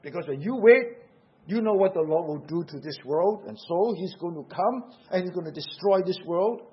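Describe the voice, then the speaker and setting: Singaporean man preaching a sermon, husky high voice, dull lo-fi recording, urgent and questioning. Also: lively intonation, normal speed. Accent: Singaporean English.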